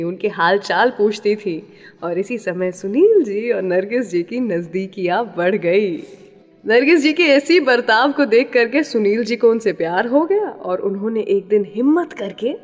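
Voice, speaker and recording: Female Indian radio presenter, mid-pitched, scripted storytelling delivery over music with long reverb. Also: a little mellow. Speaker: radio show host.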